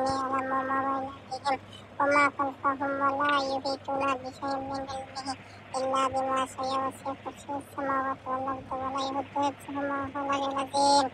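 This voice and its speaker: Woman as young cartoon boy, high voice, prayer recitation, monotone, noisy background. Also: close to an everyday voice.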